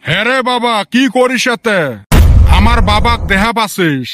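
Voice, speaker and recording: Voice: medium pitch, lively intonation, moderate pace, fairly bright tone, faint music behind, lightly acted. A performer voicing a scolding middle-aged village father in a comic cartoon, speaking a rural Goalpara Bengali dialect full of exclaimed rhetorical questions. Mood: curious, chatty.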